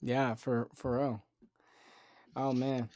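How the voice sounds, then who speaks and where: A man's voice: mid-range voice, flat delivery, takes it slowly, mellow, no room sound, studio quality. A young man hosting a podcast in loose, rambling casual chat, full of fillers. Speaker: podcast host.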